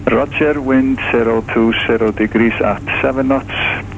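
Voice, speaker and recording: A man's voice: mid-range voice, steady tone, unhurried. A male air traffic controller giving wind and runway information over an aviation radio. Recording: dull, noisy aviation radio link.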